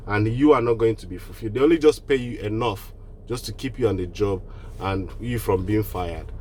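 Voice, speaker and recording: A man's voice: on the low side, darkish tone, a little hiss or hum. Man with a cold giving online business advice. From Nigeria. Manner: earnest, repeats and restarts phrases. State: has a cold. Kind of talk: casual direct-to-camera advice.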